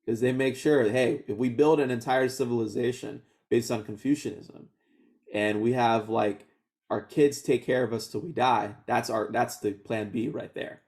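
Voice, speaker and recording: A man in his thirties from Houston, whose first language was Vietnamese, telling his life story in a relaxed oral history interview, often laughing and restarting his sentences. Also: mid-range voice, normal speed. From Houston, Texas. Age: 30s.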